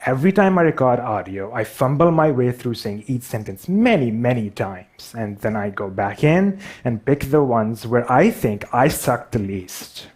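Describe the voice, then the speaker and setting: A man with a Pakistani accent speaks to an audience at a mid-range pitch. His storytelling is personal and self-deprecating, with occasional halting phrases. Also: very animated, a little mellow, slightly rough. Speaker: public speaker.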